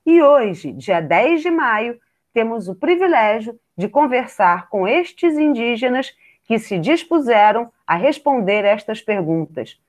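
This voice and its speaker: This is a woman teacher running a school podcast interview, reading prepared questions one after another. Her voice sits a touch high and swings widely in pitch, giving the questions an animated lilt. She speaks clearly and courteously, like someone guiding a classroom. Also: unhurried.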